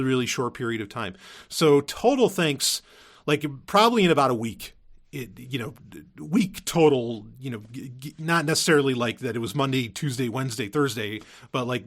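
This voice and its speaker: A man's voice: on the high side, fairly bright tone. A man hosting a podcast in a casual solo monologue, rambling and emphatic, often repeating phrases as he circles back. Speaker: podcast host and audio drama writer.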